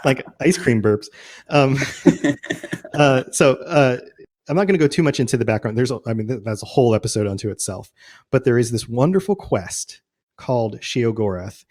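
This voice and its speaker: A man's voice: mid-range voice, animated, moderate pace, a little mellow. A man chatting informally and casually as a podcast host about game lore, often chuckling.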